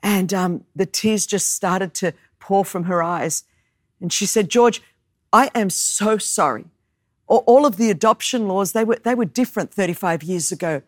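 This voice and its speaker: A woman in her thirties, raised in Australia with Greek as her first language, preaches in an animated, storytelling way with self-mocking humor and emphatic repetition. Her voice sits at a middle pitch for a woman and comes across close and dry, with no room sound around it. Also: normal speed, dry recording. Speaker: guest preacher. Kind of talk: sermon with personal story.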